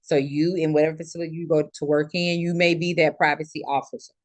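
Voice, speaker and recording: An instructor with a mid-pitched voice delivers an informal classroom-style lecture. The delivery is explanatory, circling back to restate key points in other words. The sound is dry, with very little room echo. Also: level tone, quick pace. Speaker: instructor lecturing healthcare students.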